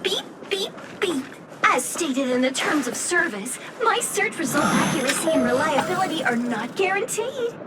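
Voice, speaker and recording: A woman's voice: sits high, sing-song, moderate pace, heavy background noise. A woman voicing a chirpy, officious little robot appliance in a video game, reciting notices between spoken beeps. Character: chirpy beeping robotic lost-item finder appliance, officious. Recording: game audio under loud music.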